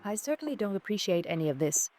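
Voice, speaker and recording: An actress playing a formal, haughty wife with a brittle, genteel manner, in 1950s radio melodrama style. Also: female voice, mid-range voice, sing-song, fast, dark tone, faint music behind, natural voice. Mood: cool, offended. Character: cool, proper, brittle wife of a missing man.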